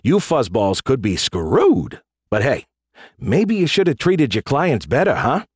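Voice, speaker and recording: A man's voice: mid-range voice, very animated, measured pace, husky, no echo, studio quality, heavily acted. Man playing a wisecracking space commander. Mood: mocking. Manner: sarcastic, casual, teasing. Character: wisecracking, irreverent male military commander of space pirates. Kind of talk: audiobook character dialogue.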